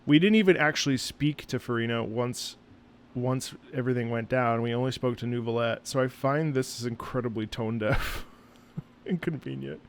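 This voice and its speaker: A man's voice: medium pitch, normal speed, a little hiss or hum. A man giving casual gameplay commentary as a streamer, with a wry, sarcastic way of talking. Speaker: streamer reacting to a game, former opera singer.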